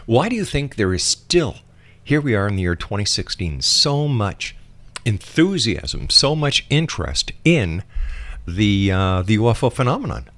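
A man's voice: fairly low pitch, sing-song, a little slow, a bit bright. A man hosting a radio interview show.

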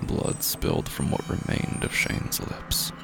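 A man narrating scripted horror fiction dramatically and gravely, with deliberate pauses and breathy drops toward a whisper. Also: over music.

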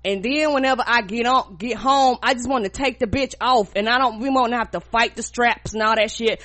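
A woman co-hosting a podcast, stuffed up with a cold, telling stories in a casual, profane, animated way. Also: a little high, brisk. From rural United States. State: congested from a cold. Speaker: podcast co-host.

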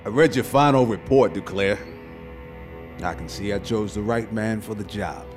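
An actor playing a commanding officer debriefing a trooper in a radio drama, measured and authoritative. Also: male voice, on the low side, close to an everyday voice. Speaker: superior officer. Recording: music bed under dialogue.

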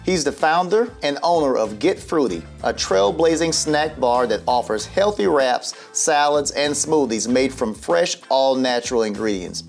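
A man's voice: mid-range voice, expressive, a little slow, creaky, few pauses, soft background music. A man hosting a local interview show, asking questions and giving many short acknowledgments. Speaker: show host. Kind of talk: interview host.

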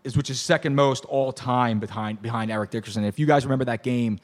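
A hoarse man hosting a casual sports talk show, excitable and rambling with lots of restarts. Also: mid-range voice, fast talker. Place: bar venue.

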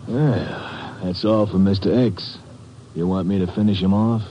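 A man's voice: low voice, fairly even intonation, measured pace. An actor in a 1950s radio crime drama playing a brutish, eager henchman in a gang. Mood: eager, menacing. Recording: dull, noisy old radio recording.

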